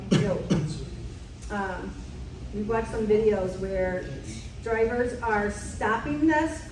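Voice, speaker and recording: A woman's voice: on the high side, darkish tone, a little hiss or hum. Woman leading a safety quiz, echoey. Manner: direct, quizzing the room. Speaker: safety trainer. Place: meeting room. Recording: echoey room from far off.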